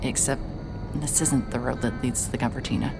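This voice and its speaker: A woman's voice: rumbling low, fairly even intonation, a little fast, gravelly, loud music behind the voice. An actress playing a dogged, awkward female private investigator in a cinematic audio drama, moving between brooding narration and hesitant dialogue. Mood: brooding, tense.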